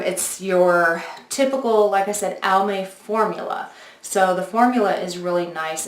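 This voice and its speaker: Woman, forties, lowish pitch, casual review style, conversational, explanatory, echoey room recording. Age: 40s. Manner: conversational, explanatory, addressing viewers directly. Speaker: beauty video host. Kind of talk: casual product review and demo.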